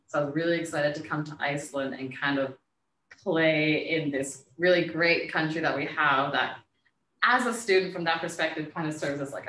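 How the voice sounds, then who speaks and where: A woman's voice: low voice, fairly bright tone, distant mic, slight background noise. A young woman from Mexico, a co-founder talking casually to students about her path. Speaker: guest speaker, co-founder. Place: university classroom. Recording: echoey classroom, mic from afar.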